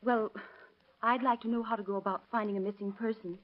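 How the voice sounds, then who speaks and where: An actress playing an anxious, hesitant woman, halting, polite and apologetic, in 1930s radio drama style. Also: a little high, fairly even intonation, close to an everyday voice. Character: anxious, hesitant woman seeking her missing sister. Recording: dull vintage radio drama recording.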